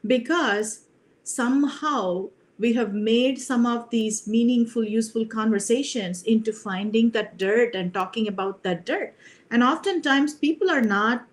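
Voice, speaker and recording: A woman's voice: a little high, unhurried. A middle-aged woman from India, a leadership coach speaking earnestly on a video call, often adding "you know" and "right?". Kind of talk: reflective interview talk.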